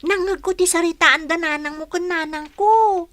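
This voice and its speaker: A woman's voice: extremely high pitch, moderate pace, a bit bright, dry recording. A woman playing an inquisitive young girl, a gossipy playmate, in a radio drama with a childlike manner.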